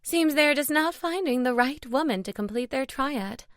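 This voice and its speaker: An exasperated older mother grumbles with affection as a woman narrator plays her. Her pitch is raised, and the sound is very dry. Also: expressive, moderate pace, no room sound. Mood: fond, puzzled. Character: exasperated, fond older mother, gossiping friend. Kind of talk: audiobook character dialogue.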